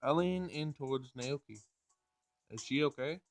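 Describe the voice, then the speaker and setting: A man reads a visual novel aloud as he plays, with casual commentary mixed in. His pitch is middling, and music plays softly behind him. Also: expressive, measured pace. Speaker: gameplay host. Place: home screen recording.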